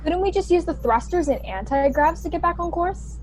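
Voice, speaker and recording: A woman's voice: very high-pitched, lively intonation, moderate pace, a little mellow, low-quality recording. A woman playing a technically minded young colonist in a sci-fi radio play, explaining things matter-of-factly. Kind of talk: science fiction radio play acting.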